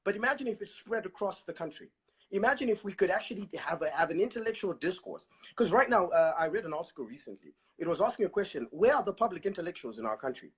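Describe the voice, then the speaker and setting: Lively husky-voiced Zimbabwean man. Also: sits high. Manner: measured, thoughtful, hesitant with fillers. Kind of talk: casual political discussion.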